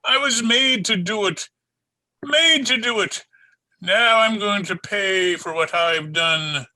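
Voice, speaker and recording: A man's voice: sits high, takes it slowly, bright, forceful tone, exaggerated voice, poor audio. A man playing an anguished, panicked police sergeant uncle in an old time radio drama, frantic and pleading, repeating himself, recorded over a video call. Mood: tormented confession.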